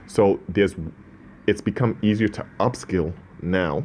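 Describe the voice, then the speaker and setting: A man's voice: low-pitched, unhurried, darkish tone, slight background noise. A man born in Ghana, speaking as a business owner in an interview, earnest and prone to restarts. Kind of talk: casual interview talk.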